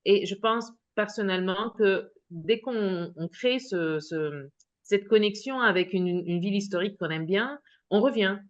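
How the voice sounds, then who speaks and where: Woman, webinar panelist, halting speech, many restarts, dull lo-fi call audio. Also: mid-range voice. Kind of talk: hesitant casual remarks. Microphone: video call microphone.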